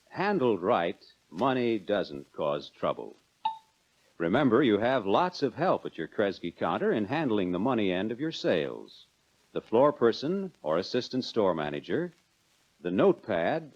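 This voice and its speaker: An American man narrating a 1940s instructional film in a measured, instructive manner, addressing the viewer directly. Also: fairly low pitch, big pitch swings. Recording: dull lo-fi 1940s film soundtrack.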